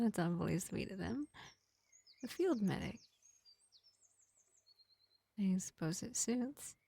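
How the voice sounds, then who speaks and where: A gentle vampire medic, played by a woman, speaks in a hushed, whispery murmur. The tone is soothing and unhurried, with a mellow, soft-edged timbre. It is a close, dry fantasy roleplay recording for a single listener. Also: lowish voice, husky, no room sound. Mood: curious, amused. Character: gentle, kindly vampire field medic tending a wounded elf. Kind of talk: roleplay audio drama addressed to the listener.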